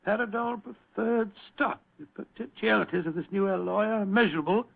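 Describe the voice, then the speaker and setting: A genial, fussy British gentleman storyteller in an old radio play. His voice is high and crackly, and he blusters and repeats himself. The sound is thin and phone-like. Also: brisk, creaky. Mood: amused, dismissive. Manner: blustering, hesitant, repeats words. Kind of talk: vintage radio drama acting.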